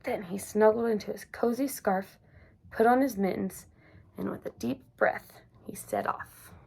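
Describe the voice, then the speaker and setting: A woman reading a children's picture book aloud, gentle and expressive. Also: mid-range voice, a little slow, vocal fry, husky. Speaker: storyteller. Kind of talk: children's story read-aloud.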